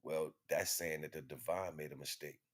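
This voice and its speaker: A man delivering an impromptu spiritual monologue in an emphatic, repetitive, slangy street-preacher manner, with a husky voice. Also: very deep voice, fairly even intonation, normal speed, a bit bright. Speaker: spiritual teacher streaming online.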